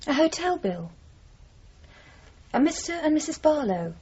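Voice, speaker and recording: An actress playing a bereaved sister who asks plain questions, in a 1980s radio drama. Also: female voice, sits high, sing-song, a little slow, a little mellow. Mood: troubled, questioning. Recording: dull, muffled radio broadcast recording.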